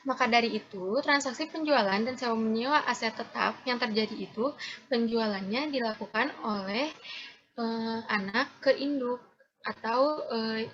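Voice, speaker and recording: A woman's voice: fairly high pitch, fairly bright tone. A young woman from Indonesia explaining a case as a student in a class presentation. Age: young adult. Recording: slightly dull, lo-fi sound.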